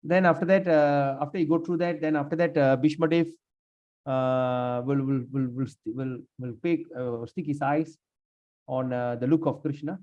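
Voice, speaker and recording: A man leading a scripture class, speaking haltingly with many fillers and restarts while he has lost his voice. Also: a little high, fairly even intonation, a little mellow. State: lost his voice, sprained neck. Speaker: class leader. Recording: dull lo-fi room recording.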